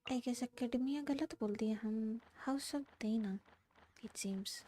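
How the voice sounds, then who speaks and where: High, mellow Indian woman streamer. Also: quick pace. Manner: brief murmured reactions, switches between English and Hindi. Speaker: game streamer. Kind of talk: casual live gameplay reactions.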